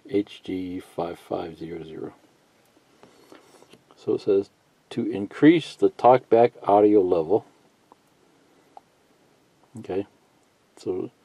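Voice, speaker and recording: A man giving a casual, unscripted how-to tutorial, thinking out loud in a halting, folksy way and reading bits of instructions aloud. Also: on the low side. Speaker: hobbyist instructor. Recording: muffled lo-fi sound, roomy echo.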